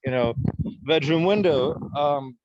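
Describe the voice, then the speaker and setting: A man's voice: a little high, measured pace, darkish tone, muffled recording, a little hiss or hum. A young man from Ukraine whose first language is Russian, answering as an interview guest, hesitant with many fillers and restarts.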